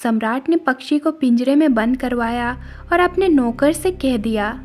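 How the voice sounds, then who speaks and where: High-pitched woman storyteller. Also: moderate pace, darkish tone. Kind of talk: scripted story narration.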